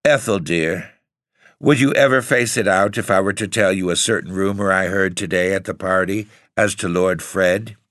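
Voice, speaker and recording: A man's voice: low voice, moderate pace, fairly bright tone, dry recording, studio quality. A man voicing a frail, timid young woman who speaks in a fretful quaver. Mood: anxious.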